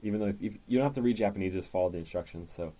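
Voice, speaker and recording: A man's voice: lowish voice, rapid-fire. A man hosting a podcast, chatting casually and reading out listener questions. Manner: relaxed and chatty, reads listener mail aloud. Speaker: podcast host.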